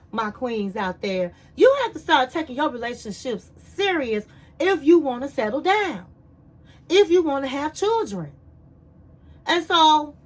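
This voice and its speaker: Woman, high voice, casual recap chat, lively storyteller. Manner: animated storyteller, acts out quotes, says "honey" and "my queens". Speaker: reality TV recap host.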